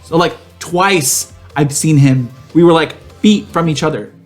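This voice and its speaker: A young adult podcast co-host telling stories in casual, comedic chat, animated, sassy and full of slang. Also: medium pitch, unhurried, soft background music.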